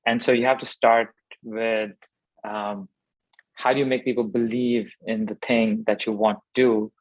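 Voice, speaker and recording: A man's voice: mid-range voice, dry recording, lo-fi sound. Thoughtful man from Pakistan. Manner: thoughtful, many fillers and restarts. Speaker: startup founder guest. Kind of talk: conversational interview answers.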